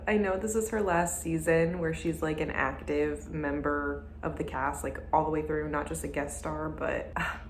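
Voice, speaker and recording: A woman's voice: low voice, steady tone, normal speed, few pauses, reverberant, a little hiss or hum. A woman hosting a reaction video, talking casually with candid, blunt asides.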